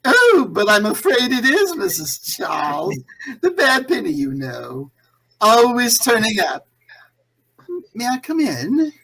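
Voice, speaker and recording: A man playing a suave, pompous escaped-convict crime boss in a 1940s radio drama, drawling with mock courtesy in a husky voice. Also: very high-pitched, wildly swooping pitch, slow, sharp tone, full character voice, rough recording. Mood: gloating. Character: suave, theatrical, gloating male escaped-convict villain and gang boss.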